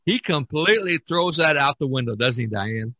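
A man hosting a casual podcast chat, speaking haltingly and often repeating his words. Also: fairly high pitch, expressive, bright, forceful tone, no room sound. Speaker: podcast host.

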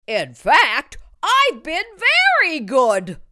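Woman voicing an eager, high-pitched young boy cat. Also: huge pitch swings, slow, pushed and bright, full character voice. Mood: indignant, boastful. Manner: earnest, exclaiming, boastful. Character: eager, self-satisfied young male cartoon cat.

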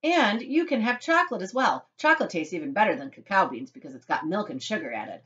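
Woman acting an excitable eccentric male factory owner, swooping intonation, emphatic, theatrical storybook voice. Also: medium pitch, fast talker, dull audio. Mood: enthusiastic. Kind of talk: children's storybook character voice.

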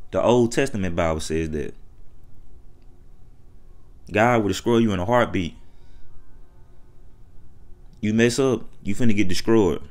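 American man, lowish voice, for an off-the-cuff rant; colloquial, emphatic, repeats himself. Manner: colloquial, repetitive, emphatic rhetorical questions. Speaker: online commentator. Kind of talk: unscripted opinionated rant.

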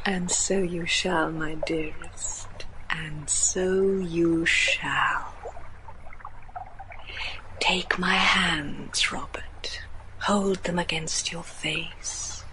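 Slow, low-voiced sinister seductress. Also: female voice, fairly even intonation, heavy background noise. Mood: hypnotic. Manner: breathy murmuring, imperious. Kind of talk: gothic radio drama acting.

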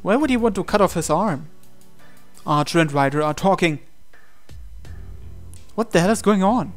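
A man's voice: sits high, animated, moderate pace, faint music behind. A man reading a visual novel aloud as a game player, in dramatic storytelling narration.

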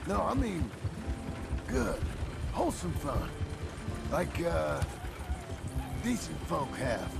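An actor playing a rough, soft-hearted American cowboy turned rancher in a video game, with a gravelly Western drawl. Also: male voice, a little high, very animated, takes it slowly, long pauses, full character voice. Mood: easygoing, tender. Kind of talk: video game cutscene acting. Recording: music behind.

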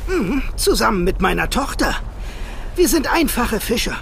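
A man's voice: very high voice, very animated, moderate pace, creaky, a bit bright, slight background noise, exaggerated voice. A man playing a gaunt, proud and wary elderly fisherman in a children's audio drama, theatrical and sighing. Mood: stern warning. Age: older.

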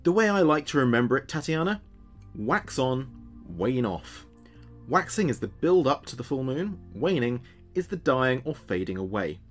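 A man's voice: mid-range voice, very animated, normal speed. A man playing a chatty small-town late-night radio host in an audio drama, with playful, wry patter. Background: background music.

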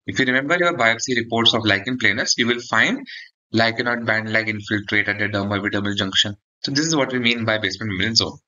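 A man's voice: fairly low pitch, fast talker, sharp tone, muffled recording. A man gives an explanatory medical lecture for exam students, often checking in with a short "okay". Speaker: dermatology lecturer.

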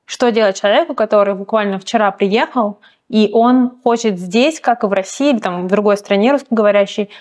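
A woman's voice: mid-range voice, brisk, hardly any pauses, a little mellow. A woman hosting an interview, asking questions in a chatty, informal way and murmuring along as she listens. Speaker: host, interviewer.

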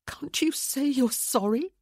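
A woman's voice: high-pitched, a little slow, a little mellow, no echo, clean studio sound, pitched above the performer's own voice. A woman reading a storybook, voicing a hurt, angry young woman who speaks in an accusing, pleading way. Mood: tense, impassioned.

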